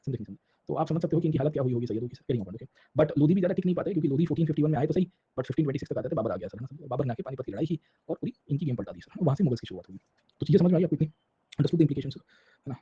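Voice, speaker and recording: Male Indian-accented history teacher, fairly high-pitched, informal exam-prep lecturing with repeated check-ins, slightly muffled lo-fi recording. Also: husky. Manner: repetitive check-in tags, addressing listeners as sir; colloquial, illustrating points with little acted anecdotes.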